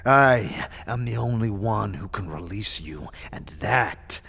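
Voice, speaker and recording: A paranoid madman, swings wildly between low sly muttering and frantic outbursts. His husky voice is full of gravel, made for an unhinged figure in an audio drama. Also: expressive, slow, pushed and bright, exaggerated voice. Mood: sly menace. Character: paranoid, raving mad earlier incarnation of an immortal man.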